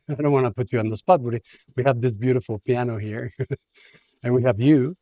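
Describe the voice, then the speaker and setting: A man's voice: medium pitch, steady tone, moderate pace, dark tone, muffled recording. A male radio host asks questions in a rambling way, often restarting his words and laughing. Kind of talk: interview conversation.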